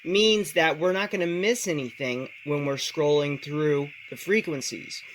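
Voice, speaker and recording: A man with a slightly high-pitched voice presents a hands-on tech tutorial. He explains each step casually and addresses the viewer directly. Some noise sits faintly behind his voice. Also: unhurried, a bit bright.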